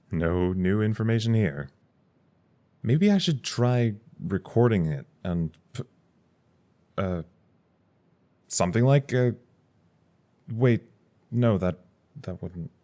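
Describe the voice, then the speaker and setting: A man's voice: lowish voice, darkish tone. A man playing a wry, irritated staffer at a secret research site, rambling and sardonic in a naturalistic audio drama style.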